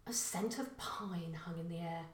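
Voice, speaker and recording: A woman reading a short crime story as scripted narration, with deliberate, careful phrasing. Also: fairly low pitch, distant mic. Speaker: author reading own story. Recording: echoey room.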